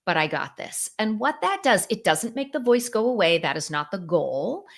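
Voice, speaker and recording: A middle-aged woman psychologist, a podcast guest, explaining ideas in an animated, conversational way, full of fillers like "like" and "you know.". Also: mid-range voice, moderate pace, creaky.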